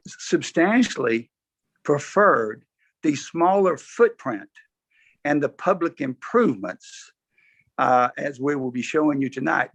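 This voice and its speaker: Hoarse guy on a lo-fi call, pitching plans to a board, keeps clearing his throat n restarting. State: hoarse from allergies. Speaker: developer presenting to a review board. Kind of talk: formal presentation at a public meeting. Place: remote video call.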